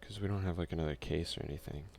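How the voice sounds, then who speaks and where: Deep crackly guy doing an asmr lets play, quiet and hushed, reads the game text out and comments. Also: racing, creaky. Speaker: gaming video creator and player.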